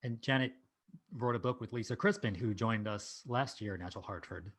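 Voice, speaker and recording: Relaxed man hosting an online meetup. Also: on the low side, brisk, breathy edge. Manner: relaxed, informal with fillers. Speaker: meetup host. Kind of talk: event announcements and speaker introduction.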